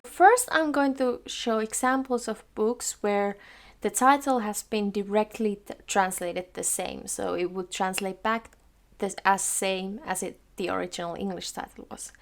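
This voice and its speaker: Young woman, Finnish accent, mid pitch, measured and careful, explanatory vlog delivery. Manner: careful, measured, occasional restarts mid-word. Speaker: book vlogger.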